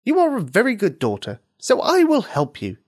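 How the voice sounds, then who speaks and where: A woman voicing a friendly, helpful mynah bird in a children's story, with a warm, coaxing manner. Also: mid-range voice, wildly swooping pitch, normal speed, voice pushed up. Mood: kind.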